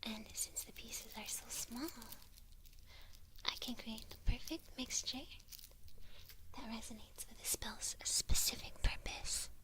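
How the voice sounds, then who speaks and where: A woman playing a kindly witch who gently instructs her apprentice in a breathy, unhurried ASMR whisper. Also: a little high, steady tone, rough recording. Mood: gentle, instructive.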